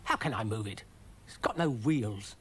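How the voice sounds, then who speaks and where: A man's voice: lowish voice, expressive, moderate pace, fairly bright tone, full character voice. A man playing a scheming, self-satisfied, grumbling dog in a children's story, pompous and muttering. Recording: clearly noisy recording.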